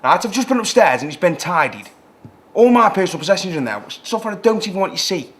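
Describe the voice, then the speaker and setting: Angry young man, swinging pitch. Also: big pitch swings, fast, fairly bright tone. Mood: angry, accusing. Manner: confrontational, emphatic, repeats himself. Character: angry, menacing young male extremist student. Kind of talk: dramatised film acting.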